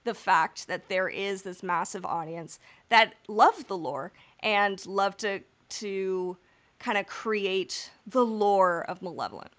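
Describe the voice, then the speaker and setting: A woman's voice: mid-range voice, slow pace, fairly bright tone. A woman co-hosting a podcast in casual chat. She talks in long, rambling, candid stretches, swears freely and laughs through her own jokes. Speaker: podcast co-host.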